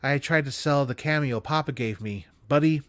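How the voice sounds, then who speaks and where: A man voicing an affectionate, excitable elderly woman from a storybook, folksy and earnest in manner. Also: fairly high pitch, normal speed, dry recording, natural voice. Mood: tender, wistful.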